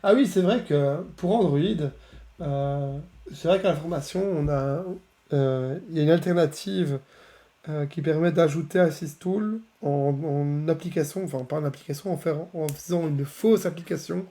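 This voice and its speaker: A man with a cold, a bit hoarse and nasal, casually presenting software on a live stream. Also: fairly high pitch, moderate pace. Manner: chatty, many fillers, laughs often. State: has a cold, slightly hoarse.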